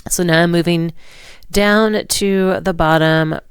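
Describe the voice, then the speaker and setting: A woman narrating a card-making tutorial in relaxed, rambling steps, hoarse and stuffy from a cold. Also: fairly low pitch, slow, dry recording, studio quality. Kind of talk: voice-over craft tutorial.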